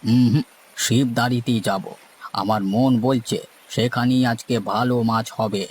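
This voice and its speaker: A man's voice: mid-range voice, steady tone, a little slow, slight background noise, lightly acted. A man playing a seasoned, boastful village boatman in a cartoon, with a folksy, lecturing manner. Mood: assertive, boastful. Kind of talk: cartoon story dialogue.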